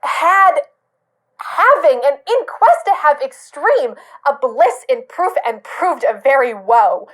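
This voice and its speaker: A woman performing a Shakespeare sonnet as an anguished, bitter monologue, with halting, weighted phrasing. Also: high voice, big pitch swings, fairly bright tone, full character voice. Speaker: student drama performer.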